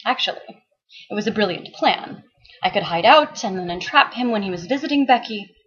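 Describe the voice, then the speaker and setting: A woman reading a dramatic story excerpt in a theatrical, expressive way, with a husky voice. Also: medium pitch, fairly quick, lo-fi sound. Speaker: author and actress reading a book excerpt. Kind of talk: dramatic audiobook narration.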